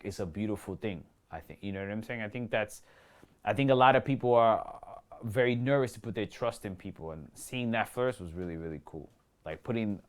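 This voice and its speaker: Slangy New York man chatting in an interview. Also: lowish voice. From New York City. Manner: slangy, repeats himself, acts out quoted remarks. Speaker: actor being interviewed. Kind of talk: casual interview chat.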